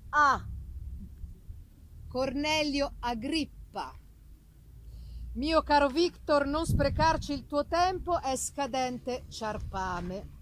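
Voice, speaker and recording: A woman's voice: high-pitched, lively intonation, drawn-out words, long pauses, slight background noise, full character voice, rough recording. A woman voicing a dismissive but fond middle-aged father in a dramatic novel reading, drawing out words in an offhand way.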